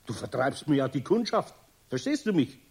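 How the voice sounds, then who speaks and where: An actor playing a kindly, flustered older master carpenter in a children's radio play, with a husky voice and Bavarian accent, often muttering or exclaiming. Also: male voice, medium pitch, sing-song, normal speed, a little mellow, full character voice. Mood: mock scolding. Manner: muttering to himself, hesitant, exclaiming.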